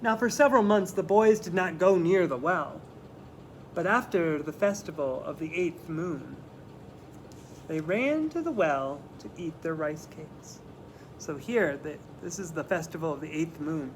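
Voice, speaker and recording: A man's voice: a little high, expressive, poor audio. A man reading a picture book aloud to children, with dramatic phrasing and simple explanatory asides. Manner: dramatic phrasing, slowing for emphasis. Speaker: children's story reader. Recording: clear background noise.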